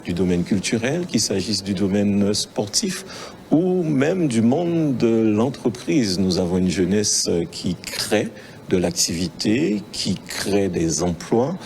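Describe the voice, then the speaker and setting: A man's voice: on the low side, big pitch swings, takes it slowly, creaky, a little mellow, a little hiss or hum. A man speaking as a local official, emphatic and repeating his phrases. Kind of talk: formal speech to press.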